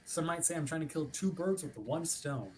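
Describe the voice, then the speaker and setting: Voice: medium pitch, expressive, moderate pace, slight background noise, lightly acted. An actor playing an officious, politely pushy ship's watch officer in a formal, wordy script reading. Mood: politely insistent. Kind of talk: theatrical script reading over a livestream. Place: remote online stream.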